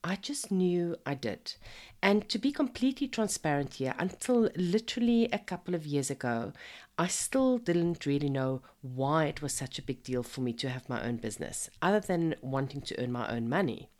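Podcast host, woman in her 50s, low and croaky from a cold, friendly pep-talk delivery. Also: expressive, normal speed, darkish tone. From Zimbabwe. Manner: chatty and encouraging, addresses the listener as a friend. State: hoarse from a cold. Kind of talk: casual motivational podcast monologue.

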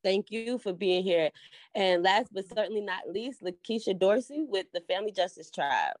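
A woman's voice: medium pitch, expressive, normal speed, no echo. A woman hosting a panel podcast, rallying her guests with energy. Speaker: podcast host.

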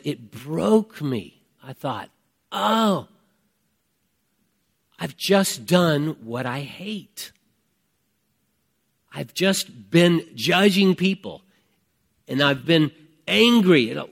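Higher-pitched man preaching conversationally. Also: expressive, extremely slow, creaky, pauses often. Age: 50s. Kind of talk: conversational sermon with personal testimony.

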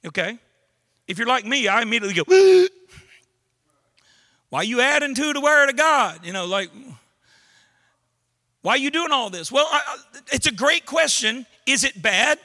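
A Southern pastor from Louisiana preaches in a high, bright, forceful voice. He is a middle-aged man who leans on folksy humor and quick asides. He often restarts his words mid-phrase as he teaches. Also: big pitch swings. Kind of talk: sermon.

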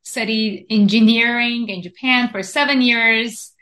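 Podcast guest: woman in her thirties from Iran, bright and lively, somewhat high voice, fast self-correcting storyteller. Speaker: guest, investing educator. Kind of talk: casual podcast interview.

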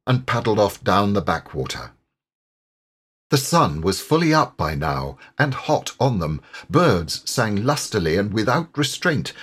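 A man narrating a literary audiobook expressively, in a measured and carefully enunciated way. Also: fairly low pitch, breathy edge.

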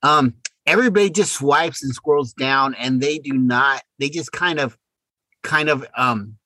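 A man's voice: mid-range voice, a little slow, bright, forceful tone, no echo, low-quality recording. A middle-aged man co-hosting a podcast in a casual chat, inquisitively prompting with questions. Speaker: podcast co-host.

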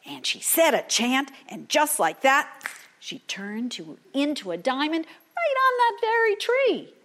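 Animated, unhurried woman storyteller. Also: high-pitched, slight background noise. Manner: dramatic, drops into hushed whispers for suspense. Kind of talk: oral folktale storytelling to an audience.

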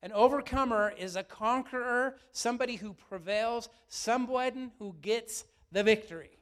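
A middle-aged male pastor preaching a sermon, his voice a little raspy from yelling, often asking the room questions. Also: very high-pitched, moderate pace.

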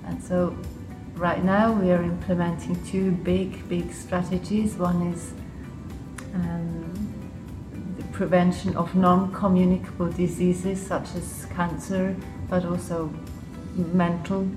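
A woman's voice: fairly low pitch, level tone, slow pace. A woman with an English accent, from Switzerland and Liechtenstein, giving unscripted interview answers as a guest in a thoughtful, hesitant way. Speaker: interview guest, public health official. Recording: roomy echo, music behind. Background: music.

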